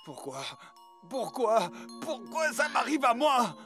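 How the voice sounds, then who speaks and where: A slow, plaintive star footballer. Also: male voice, extremely high pitch, big pitch swings, over loud music, full character voice. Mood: despairing. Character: melodramatic, self-pitying star footballer. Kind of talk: French animated series dub.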